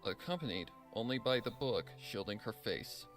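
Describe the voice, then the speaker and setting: A man's voice: fairly low pitch, animated, moderate pace, a bit bright. A man narrating a scripted story in a dramatic reading style. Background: music.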